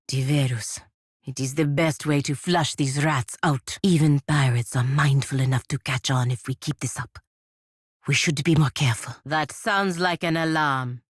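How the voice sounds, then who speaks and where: Proud stern fantasy game warrior lady, low voice, formal n dryly sardonic, very dry close sound. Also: no room sound. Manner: formal, measured, dryly sardonic, avoids contractions. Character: stern, proud, wry feathered warrior woman from a fantasy republic. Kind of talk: scripted video game companion voice lines.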